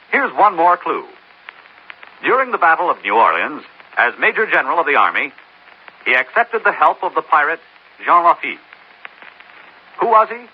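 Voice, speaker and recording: A male announcer reads scripted narration in a vintage radio style. His medium-pitched voice is husky and animated. The recording sounds muffled.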